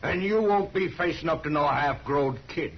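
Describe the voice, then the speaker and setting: A man's voice: a little high, moderate pace, breathy edge. An American actor playing a hot-headed, blustering middle-aged father in a 1950s radio Western. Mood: furious, blustering. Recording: muffled, noisy 1950s radio recording.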